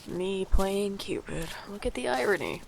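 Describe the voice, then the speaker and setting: A woman playing a wry, guarded but caring older sibling in an audio drama, with dry, deadpan delivery. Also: medium pitch, unhurried, vocal fry, noisy background. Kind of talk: audio drama narration and dialogue.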